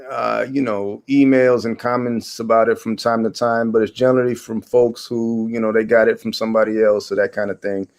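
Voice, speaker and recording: Guyana-born guy in his 40s, lowish voice, lots of ums and restarts. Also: flat delivery, creaky, a little mellow. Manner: halting, many fillers and restarts. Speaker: guest historian. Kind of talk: conversational interview answers.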